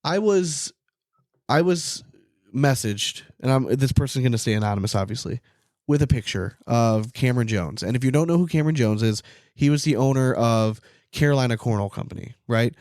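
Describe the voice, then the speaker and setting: A man's voice: medium pitch, expressive, fairly quick, darkish tone, dry recording, clean studio sound. A man co-hosting a podcast, chatting casually and telling stories in an informal, conversational way. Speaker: podcast co-host.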